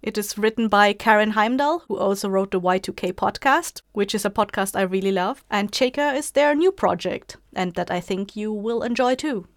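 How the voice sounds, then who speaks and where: A woman's voice: mid-range voice, moderate pace, few pauses, dry recording, clean studio sound. A woman hosting a podcast, talking in a casual, informal and chatty way. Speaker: podcast creator and host.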